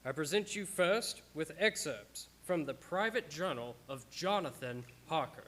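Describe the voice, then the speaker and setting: A man playing a solemn gentleman witness who formally declaims his evidence in a radio play. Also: fairly high pitch, animated, a little slow, slight background noise. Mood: solemn, insistent. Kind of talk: dramatic radio-play narration.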